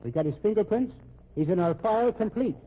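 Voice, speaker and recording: A confident Irish police officer in an old-time radio drama. His voice is high and raspy, his talk blunt, folksy and loose in grammar. The recording is muffled and narrow, like a vintage broadcast. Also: lively intonation, a little fast. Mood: assured, matter-of-fact.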